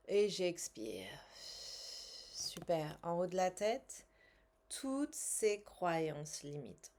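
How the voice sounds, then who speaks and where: A woman coaching a guided tapping exercise in short, measured, instructional phrases with pauses and repeated statements. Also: on the low side, mellow. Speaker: tapping coach and language teacher.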